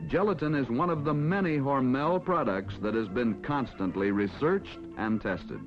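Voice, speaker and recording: An American man reading scripted narration for a mid-century educational film in a formal, measured announcer style. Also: medium pitch. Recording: dull vintage film soundtrack with music. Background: background music.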